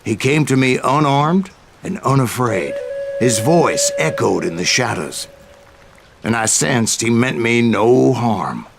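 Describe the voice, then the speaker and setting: An actor playing an aging, wry Old West bounty hunter, telling stories in a folksy American voice. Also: male voice, mid-range voice, animated, slow, gravelly, background noise. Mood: wry, dry. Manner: folksy, wry, colorful turns of phrase. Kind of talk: scripted storytelling narration.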